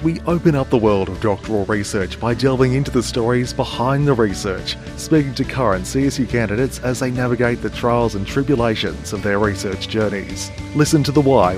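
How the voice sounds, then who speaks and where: A man reading a scripted podcast intro and content warning in a smooth, polished delivery. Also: mid-range voice. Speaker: podcast announcer.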